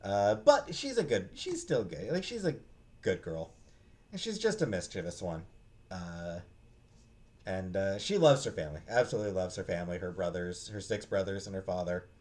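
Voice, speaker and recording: A man giving a casual, unscripted book talk in a halting way, searching for his words. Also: sits low, sing-song, darkish tone. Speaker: book reviewer, host.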